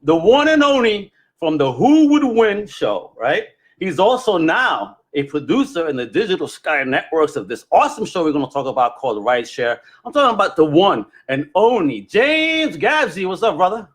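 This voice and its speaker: A man's voice: sits high, very animated, moderate pace, hardly any pauses. A man hosting a podcast with exuberant, slangy, hype-filled casual chat. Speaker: podcast host.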